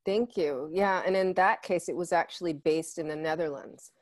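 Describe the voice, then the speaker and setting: A woman hosts a podcast interview in a chatty way, filling her talk with little filler words. Her voice sits low for a woman. The recording is dry, with no room echo. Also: moderate pace. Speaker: podcast host.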